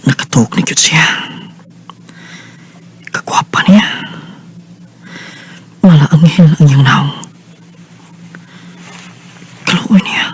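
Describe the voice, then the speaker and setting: Kind, higher-voiced Cebuano man in radio drama. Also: takes it slowly, long pauses, in a whisper. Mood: tender, admiring. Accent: Cebuano Filipino. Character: Robin, kind, attentive man hosting and admiring a woman friend.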